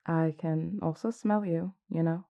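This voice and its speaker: A woman playing a gentle, courteous vampire queen in an intimate audio roleplay, speaking politely and reassuringly. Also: low voice, steady tone, measured pace, creaky, muffled recording. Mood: courteous, careful. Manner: polite, soft-spoken reassurance with hesitant asides.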